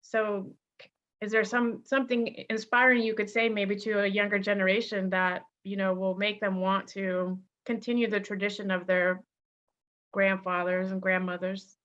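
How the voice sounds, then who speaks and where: A woman hosting a webinar over a video call, asking questions in a halting way and repeating words. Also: mid-range voice, level tone, fairly bright tone. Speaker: webinar host. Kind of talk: webinar Q&A moderating. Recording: dull lo-fi webinar audio.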